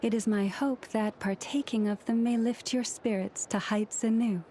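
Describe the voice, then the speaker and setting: A woman playing a serene, gracious moon priestess in a video game, speaking in gentle, formal, poetic phrases. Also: on the high side, steady tone, moderate pace, a little hiss or hum, lightly acted. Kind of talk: video game character acting.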